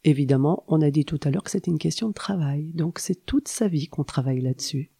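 Mellow-voiced woman explaining calmly. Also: low voice, gravelly, slightly rough. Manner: calm, teacherly, with thoughtful pauses. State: slightly congested from a cold. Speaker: guest, traditional Chinese medicine practitioner. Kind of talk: explanatory interview talk.

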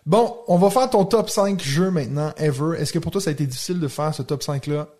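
Relaxed male host, Québécois accent, mellow tone, quick pace. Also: fairly high pitch. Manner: relaxed, encouraging interviewer. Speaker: podcast host. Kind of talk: casual interview chat.

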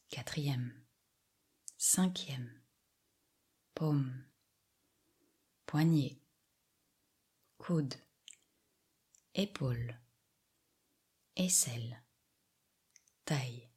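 A woman guiding a yoga meditation with soft, soothing scripted instructions and long pauses. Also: low voice, slightly rough. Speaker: yoga nidra relaxation guide.

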